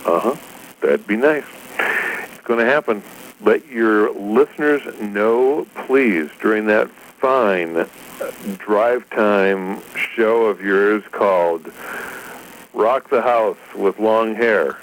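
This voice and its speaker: A man's voice: lowish voice, slow pace, slight background noise, low-quality recording. A man record promoter leaving chummy, rambling voicemails and laughing at his own jokes. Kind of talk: voicemail message.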